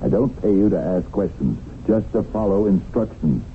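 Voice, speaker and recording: A man's voice: lowish voice, normal speed, close to an everyday voice. An actor playing a sly, stingy businessman in a 1970s radio drama, pedantic and mock-courteous. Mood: curt, impatient. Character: sly, stingy, smoothly officious swindling businessman. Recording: muffled, noisy 1970s radio broadcast.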